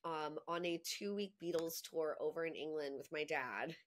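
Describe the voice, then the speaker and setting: A woman in her thirties, a podcast guest chatting in a lively way with frequent laughs and filler words. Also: deep voice, normal speed. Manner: chatty and animated, laughs easily, many "like" fillers. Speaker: guest, copywriting agency owner. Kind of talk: casual podcast conversation.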